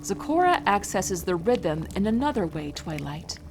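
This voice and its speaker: A woman's voice: fairly low pitch, very animated, moderate pace, faint music behind. Woman playing a regal, lilting pony princess. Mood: patient teaching. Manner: stately, explanatory. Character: regal pony princess, kindly amused teacher. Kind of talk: dramatic fan fiction audio reading.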